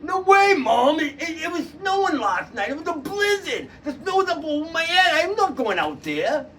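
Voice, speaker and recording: A storyteller voicing a stubborn older brother who protests loudly and stammers. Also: very high-pitched, sing-song, normal speed, far from the mic, slight background noise, pitched above the performer's own voice, full character voice. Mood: protesting.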